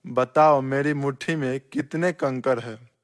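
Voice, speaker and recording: Man acting a slow-spoken, puzzled husband. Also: mid-range voice, steady tone, natural voice. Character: puzzled, grateful adult husband seeking answers. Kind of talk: scripted Hindi moral story acting.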